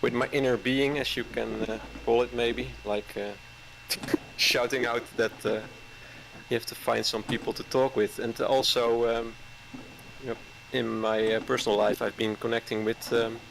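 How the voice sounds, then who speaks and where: A man around forty, a caller chatting hesitantly with many fillers and soft chuckles. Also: mid-range voice, flat delivery, normal speed, gravelly, background noise. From Netherlands. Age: 40s. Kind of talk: casual chat.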